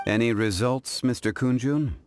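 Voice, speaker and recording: An actor playing a calm, learned man who leads the group, speaking in a measured, formal way. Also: mid-range voice, slight background noise, natural voice. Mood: composed. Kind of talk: game cutscene dialogue.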